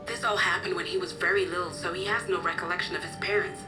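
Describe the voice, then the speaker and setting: An actress playing a kindly, motherly guardian in a video game cutscene, explaining things in a gentle storytelling way. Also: fairly low pitch, steady tone, quick pace, music in the background, lightly acted. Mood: sad, caring. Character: kindly motherly woman, caring guardian of an orphaned boy.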